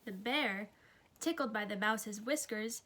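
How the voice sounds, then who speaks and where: A woman reading a children's story aloud with expressive, clearly phrased delivery. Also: mid-range voice, measured pace, a little hiss or hum. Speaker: storybook reader.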